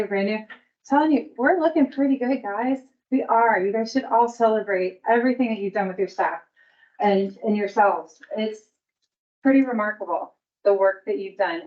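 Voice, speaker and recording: A woman presenting at a provider meeting in an upbeat, encouraging way. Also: medium pitch. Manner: upbeat and encouraging, laughs easily. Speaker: early learning coalition staff presenter. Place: meeting room. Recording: dull sound, distant from the mic.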